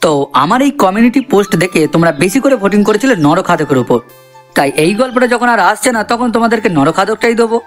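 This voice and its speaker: A man's voice: sits high, animated, fast talker. A man voicing an earnest, chatty cartoon character, explaining things to viewers. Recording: voice over background music.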